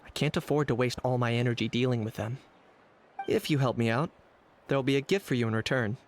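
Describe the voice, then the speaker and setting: A man playing a cool, pragmatic young hunter for hire in scripted game dialogue, measured and matter-of-fact. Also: medium pitch, a little hiss or hum, lightly acted. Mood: cool, businesslike. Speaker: game character voice actor. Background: game background music.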